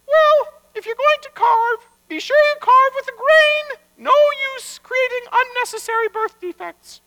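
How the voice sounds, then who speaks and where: A man voicing a scolding, sardonic wife with a sharp nagging manner in a told story. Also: very high voice, unhurried, pitched above the performer's own voice, heavily acted. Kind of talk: live storytelling. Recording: echoey hall, long reverb.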